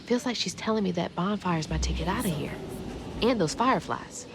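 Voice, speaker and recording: An actress playing an earnest, determined young weaver in a video game. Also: female voice, lowish voice, lively intonation, fairly quick, vocal fry, background noise. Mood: reflective, curious. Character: determined, earnest young female weaver searching for her mother. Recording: game music behind the voice.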